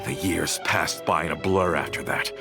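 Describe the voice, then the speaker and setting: An actor playing a bitter, vengeful former mafia member in a video game, with theatrical, accusing delivery that dwells on each phrase. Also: male voice, a bass voice, normal speed, music in the background. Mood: bitter reminiscence. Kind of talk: dramatic video game voice acting.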